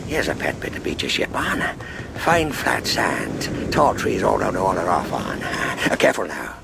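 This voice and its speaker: A man's voice: deep voice, huge pitch swings, normal speed, vocal fry, very noisy, heavily acted. A man playing a wounded, wily old pirate in a radio drama, taunting and menacing in salty seafaring dialect. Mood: commanding, wily.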